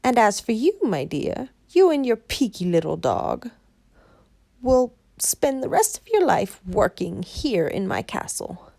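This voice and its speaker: A woman voicing a wicked old witch, gloating, who breaks into shrill panicked screams. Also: sing-song, measured pace, gravelly, warm tone, no echo, heavily acted.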